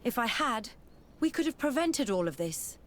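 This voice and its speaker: A woman's voice: a little high, big pitch swings, moderate pace, a little hiss or hum. A woman playing a principled scientist in a game, speaking with firm, reproachful indignation. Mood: ashamed indignation. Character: principled female former student scientist, indignant.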